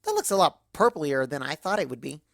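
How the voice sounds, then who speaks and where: A woman voicing an excitable young female cartoon pony, bubbly and breathless, rambling through a first-person story. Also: deep voice, brisk, vocal fry, dry recording, clean studio sound, full character voice. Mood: giddy.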